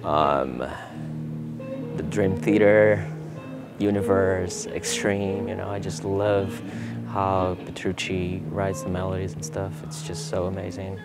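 A young Brazilian man, a native Portuguese speaker with a Brazilian accent, casually answering interview questions in a relaxed way while seated in a theater hall. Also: low voice, slow, over loud music. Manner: relaxed, laid-back, many fillers like "you know" and "man". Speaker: guitarist.

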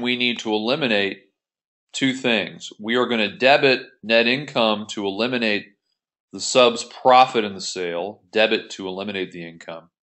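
A man with a fairly low voice gives an explanatory screen-share tutorial. He talks as an instructor, taking things methodically in informal, plain wording. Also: fairly bright tone. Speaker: accounting instructor.

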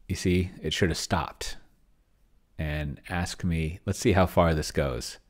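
A middle-aged man giving casual think-aloud screencast commentary, halting and muttering as he types. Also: low voice, a little mellow. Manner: halting, mutters while typing. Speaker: host of a solo roleplaying experiment vlog. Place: small indoor room with bookshelves.

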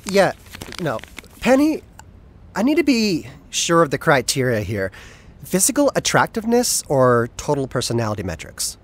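A man's voice: on the high side, wildly swooping pitch, normal speed. A man playing a witty, flamboyant and loyal assistant in an audio drama, talking in a theatrical, playful way. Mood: brisk, questioning. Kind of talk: audio drama acting, conversational.

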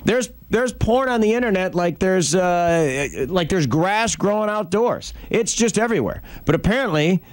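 A male radio host with a slightly high voice talks casually into a close studio microphone. He is colloquial and joking, bantering like a morning show regular. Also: expressive, normal speed, few pauses. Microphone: close broadcast boom mic.

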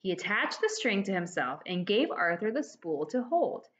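A woman's voice: mid-range voice, sing-song, moderate pace. A woman in her forties reading a children's story aloud like a teacher, expressively and speaking directly to young listeners. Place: dining room at home, indoors. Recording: dull lo-fi webcam audio.

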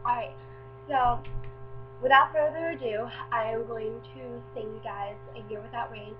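A woman's voice: on the high side, fairly even intonation, normal speed, slight background noise. A teenage girl getting over a cold, chatting casually with many fillers before singing a song cover, recorded on a webcam mic in her small bedroom. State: recovering from a cold. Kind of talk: casual webcam chat, then singing along to an instrumental. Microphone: built-in webcam mic at close range. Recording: lo-fi webcam audio with backing music.

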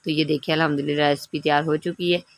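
Low-voiced woman giving a casual recipe tutorial. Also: flat delivery, racing, no echo. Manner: instructive, repeatedly checking in with the listener. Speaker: home cooking channel host.

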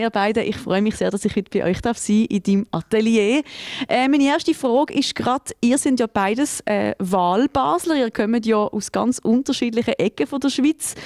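A woman's voice: fairly high pitch, darkish tone, no echo, clean studio sound. A woman in her thirties with a Swiss German accent, interviewing guests for TV with friendly, curious questions, speaking into a handheld mic. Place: small indoor studio room.

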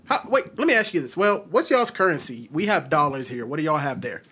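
A man hosting a casual video-call chat, teasing and blunt in an animated way. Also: on the high side, brisk, slight background noise. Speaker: commentary channel host.

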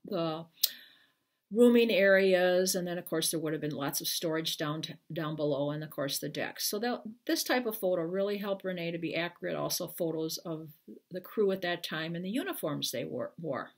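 An older American woman, a children's author, speaking to viewers at home in an expressive, unhurried storytelling manner. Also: fairly low pitch. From Iowa, United States. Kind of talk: picture book read-aloud and informal talk to viewers. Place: living room at home, indoors. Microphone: webcam at arm's length.

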